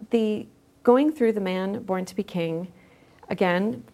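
A woman's voice: medium pitch, takes it slowly, warm tone. A woman speaking as a podcast guest in a thoughtful, conversational interview style, feeling her way through her phrases.

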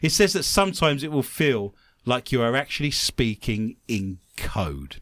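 A man from London with an English accent, hosting a radio talk show in a chatty, animated way and laughing often. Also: mid-range voice, measured pace, dry recording. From London, England.

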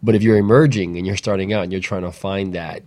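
Deep, flat-toned male host, dry sound. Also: quick pace, dry recording. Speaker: host, moderator. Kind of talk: interview questions in a panel talk.

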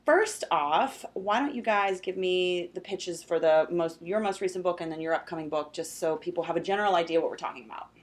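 A woman with a hoarse, scratchy voice hosting a book interview at a convention, chatty and full of restarts. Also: on the low side, fairly quick, few pauses. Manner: chatty, uses many fillers like "like" and restarts. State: hoarse, slightly lost voice. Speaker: podcast host and book editor. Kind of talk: casual interview chat. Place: convention event, indoors.

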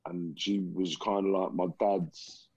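Man in his 40s, very low mellow voice, dry dull call audio, measured and reflective. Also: fairly even intonation, husky, no echo. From England. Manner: slow, reflective, many pauses and ums. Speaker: guest. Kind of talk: life story interview.

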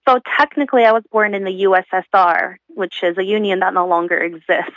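A woman in her twenties from Uzbekistan, a guest speaking thoughtfully from home, pausing with fillers. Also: medium pitch, fairly even intonation, fairly bright tone, dry recording, muffled recording. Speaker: guest, accounting professional. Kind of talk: casual remote interview. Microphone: remote call from home.

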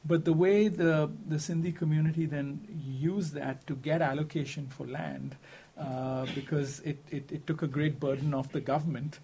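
A man's voice: fairly high pitch, steady tone, normal speed, vocal fry, dark tone, slight background noise. A man in a casual academic discussion, explaining at length with many fillers and restarts. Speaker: faculty researcher.

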